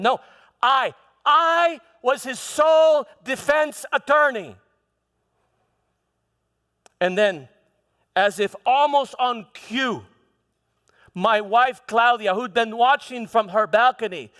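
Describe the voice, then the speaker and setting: A man performing a live theatrical monologue as a proud, troubled Roman governor, halting and repeating his words with defensive frustration. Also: very high voice, lively intonation, clean tone, exaggerated voice. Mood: rueful. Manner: halting, repeats words, swings from hushed to shouting. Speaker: preacher performing a dramatized monologue. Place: large church hall, live performance. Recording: echoey hall with long reverb.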